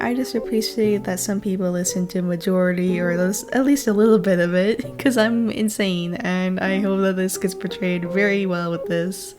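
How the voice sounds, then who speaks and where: A woman doing a casual, rambling voice-over as an art video creator. She is chatty and self-deprecating, with playful, slangy phrasing. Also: medium pitch.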